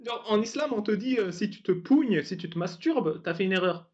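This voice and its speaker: A man's voice: sits high, lively intonation, fairly quick, darkish tone. A man hosting a casual talk, sarcastic and laughing often. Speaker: host of a debate channel. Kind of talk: casual mocking chat. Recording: dull lo-fi sound.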